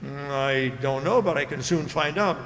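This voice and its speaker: A man's voice: fairly high pitch, moderate pace, fairly bright tone, slight background noise. A man playing a busy, hesitant customs clerk in an old-time radio drama, with a distracted, muttering delivery. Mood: distracted, helpful. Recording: reverberant hall, stage-like sound.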